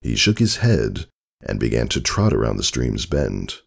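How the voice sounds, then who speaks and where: A man narrating a story as a dramatic scripted reading, with a gravelly, husky voice and expressive literary delivery. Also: a bass voice, a little slow.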